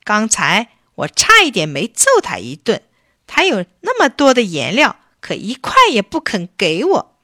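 A woman's voice: mid-range voice, wildly swooping pitch, unhurried, sharp tone, no echo, studio quality, voice pushed up, heavily acted. A woman voicing a hot-tempered, sulky little boy in a children's story, in an emphatic childlike manner. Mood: indignant, sulky.

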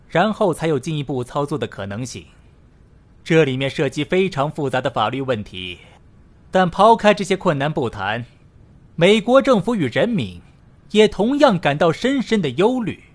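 A man's voice: a little high, big pitch swings, normal speed. A man playing a worried, legalistic diplomat who speaks formally in an audio drama. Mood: concerned. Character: hesitant, legalistic, worried male American delegate.

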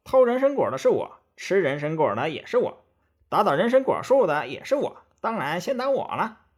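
A man's voice: high voice, sing-song, normal speed, slightly rough, voice pushed up, heavily acted. A man voicing a bold, loyal monkey hero in a storybook, protesting urgently.

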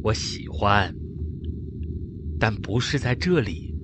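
An actor playing a tense, protective spaceship captain and uncle in a radio drama, commanding and earnest, with ambient noise behind him. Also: male voice, lowish voice, takes it slowly. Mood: subdued. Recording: noisy cabin-like ambience. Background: steady ambient noise.

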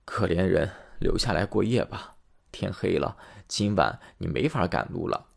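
A humble, kind-hearted poor man from a fairy tale, speaking quietly and with gentle hesitation, as a male storyteller voices him. His voice is soft and a little lower than the narration around it. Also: lively intonation, moderate pace, vocal fry, heavily acted.

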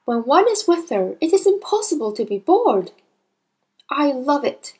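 Woman voicing a gentle, higher-pitched lady schoolteacher. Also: very animated. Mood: fond, amused. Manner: soft, quiet, measured. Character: gentle, kindly young woman schoolteacher, calm and fond. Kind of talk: children's audiobook character voice.

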